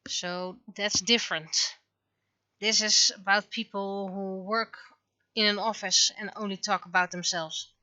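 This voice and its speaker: A woman livestreamer chatting casually and venting in an animated, exasperated way, acting out imagined exchanges as she goes. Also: medium pitch, unhurried, dry recording, highs cut off. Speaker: livestreamer, webcomic artist. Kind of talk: casual livestream chat, ranting.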